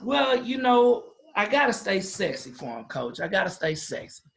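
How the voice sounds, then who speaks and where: A man's voice: sits high, big pitch swings, normal speed, vocal fry, a crowd in the background, rough recording. An American man hosting a podcast interview, chatting casually in a jokey, affirming way. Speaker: podcast host.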